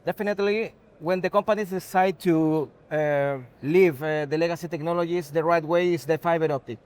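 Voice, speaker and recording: A man from Chile with a Chilean Spanish accent, giving unscripted interview answers as a technology executive in halting, non-native English. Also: on the high side, steady tone, darkish tone, clear, resonant tone.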